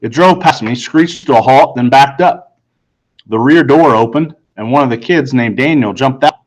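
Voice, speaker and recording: A man reading first-person story narration aloud in an earnest, deliberate storytelling manner. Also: mid-range voice, rough recording. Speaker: audiobook reader.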